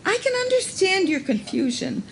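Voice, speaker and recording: A woman's voice: very high voice, big pitch swings, moderate pace, creaky, a bit bright, full character voice. A woman playing a haughty, indignant businesswoman in a live radio police drama parody, with a theatrical, imperious delivery. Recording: noisy live shipboard stage performance.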